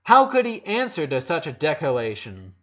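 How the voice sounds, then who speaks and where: A man's voice: on the high side, huge pitch swings, moderate pace, sharp tone, highs cut off. A man reading a dramatic story aloud as narrator, emotive, with occasional stumbles.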